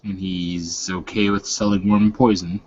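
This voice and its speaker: Man playing a low, grave werewolf mentor. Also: little pitch movement, darkish tone. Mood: probing. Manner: measured, frank, solemn. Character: grave, blunt male werewolf mentor, clove smoker. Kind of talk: improvised tabletop roleplay. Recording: dull, dry lo-fi voice recording.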